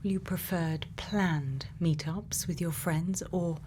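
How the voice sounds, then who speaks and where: A woman playing a quirky, gently probing scientist in a soft-spoken ASMR roleplay, murmuring unhurried questions and sometimes half whispering. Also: lowish voice, steady tone, slight background noise. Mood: calm, attentive. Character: quirky, gently probing female scientist programming a robot's memories.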